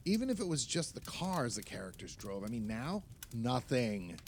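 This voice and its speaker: A man plays a nostalgic, opinionated film writer in an audio play. His pitch moves in lively swings as he holds forth emphatically to friends, pausing to find words. There is faint noise behind him. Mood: exasperated, nostalgic. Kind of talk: naturalistic audio play dialogue.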